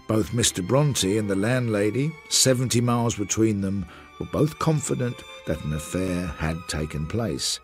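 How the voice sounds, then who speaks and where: Victorian detective sergeant, radio drama narrator, lowish voice, lively intonation, dry and clipped, music underneath. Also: male voice. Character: Victorian London detective sergeant recounting his investigation, dry and measured.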